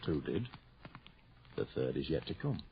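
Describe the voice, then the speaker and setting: An actor playing a haunted sergeant major back from India, grave and foreboding, telling it with theatrical pauses in an old-fashioned radio horror style. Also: male voice, a bass voice, big pitch swings, creaky, a little hiss or hum, exaggerated voice. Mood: ominous. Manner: theatrical, ominous storyteller with dramatic pauses. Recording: dull, lo-fi old radio drama recording.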